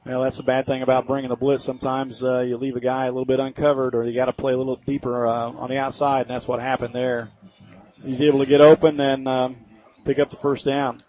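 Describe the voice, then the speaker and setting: A man's voice: medium pitch, little pitch movement, fast, a little mellow. A man giving casual, folksy color commentary on a football radio broadcast. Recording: slightly dull, lo-fi radio audio.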